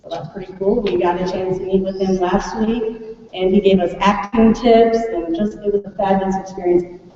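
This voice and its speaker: A woman's voice: mid-range voice, fairly even intonation, moderate pace, mellow. Echoey, distant American woman addressing an audience. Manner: chatty, prompts children and audience with questions. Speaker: third grade teacher presenting a class project. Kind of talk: informal welcome speech to an audience. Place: large echoey room at a school event. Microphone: distant microphone. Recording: echoey hall, distant mic, muffled, faint noise.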